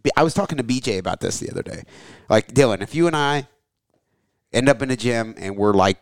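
Slightly hoarse man, casual podcast host. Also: mid-range voice, lively intonation, fast, no echo, studio quality. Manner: loose, rambling, swears casually. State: slightly hoarse, lost some voice. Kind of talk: casual chat.

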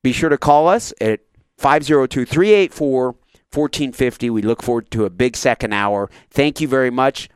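A man's voice: mid-range voice, moderate pace, clear, resonant tone, no room sound. A man in his thirties hosting a casual sports radio talk show, enthusiastic and a little rambling. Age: 30s.

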